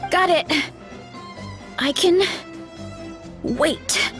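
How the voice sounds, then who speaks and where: Game warrior girl: high, sweet voice, very slow hesitant delivery, dreamy, modest, always distracted. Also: fairly bright tone, faint music behind, full character voice. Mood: drowsy, hungry. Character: sweet, drowsy, hungry young warrior woman, absent-minded and modest. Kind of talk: video game voice acting.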